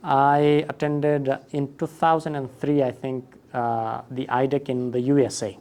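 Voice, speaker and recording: A man's voice: medium pitch, level tone, unhurried, a little mellow. A man, a school director telling his story in a conference interview, thoughtful with fillers and restarts. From Nepal.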